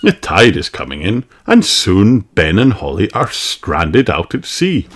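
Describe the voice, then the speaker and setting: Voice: low voice, sing-song, unhurried. A narrator reading a children's storybook aloud from a script.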